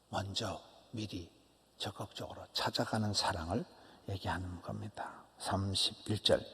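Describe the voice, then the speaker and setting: Emphatic Korean pastor with a Gyeongsang accent. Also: male voice, lowish voice, gravelly. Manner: animated, emphatic preaching with short repeated phrases and drops to a whisper. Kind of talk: early-morning sermon. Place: church sanctuary. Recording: church hall reverb.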